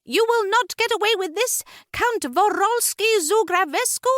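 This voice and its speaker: A woman's voice: very high voice, expressive, unhurried, fairly bright tone, no room sound, studio quality, voice pushed up, heavily acted. A woman voicing a staunch, defiant adventure heroine in an audiobook, bold and declamatory.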